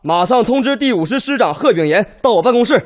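A man playing a brisk, purposeful senior Red Army officer in a radio drama, with an emphatic, orderly delivery. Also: extremely high pitch, expressive. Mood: commanding. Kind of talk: Chinese radio drama acting.

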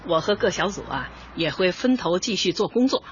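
A woman's voice: lowish voice, big pitch swings, a little fast, muffled recording, slight background noise. An actress playing a bold, hearty middle-aged neighbourhood woman with a Northeastern Chinese accent in a radio comedy, folksy and forthright. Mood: earnest. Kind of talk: radio comedy drama acting.

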